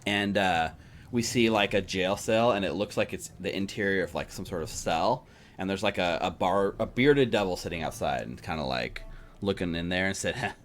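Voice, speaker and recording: A man's voice: low voice, normal speed, a little hiss or hum. A man narrating a tabletop game as game master in a casual, improvised, rambling way. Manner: rambling, peppered with "like" and "you know".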